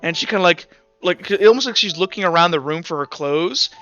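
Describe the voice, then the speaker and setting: A man's voice: high-pitched, lively intonation, a little fast, sharp tone, soft background music. A man narrating as game master of a tabletop game, rambling with lots of fillers. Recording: dull lo-fi recording.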